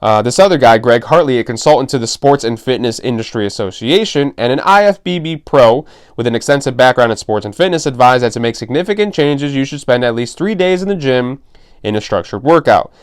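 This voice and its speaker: A young man hosts a casual solo fitness podcast, reading from an article in a fairly monotone delivery. His voice is smooth and clear at an ordinary middle pitch. He breaks off now and then for dry, sarcastic remarks. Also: a little fast. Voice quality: self-described monotone voice. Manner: dry, sarcastic asides, occasional swearing. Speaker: podcast host.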